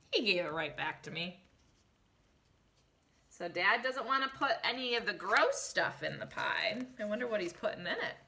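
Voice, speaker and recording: Female schoolteacher for picture-book read-aloud. Bright voice, lively pitch movement, talks straight to the kids. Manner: animated, addressing young children directly, posing questions. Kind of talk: expressive children's story read-aloud.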